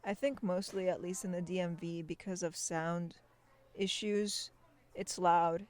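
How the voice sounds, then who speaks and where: A woman hosting a podcast, reading scripted narration in a calm, explanatory manner on a close mic. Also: fairly low pitch, a little slow. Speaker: podcast host.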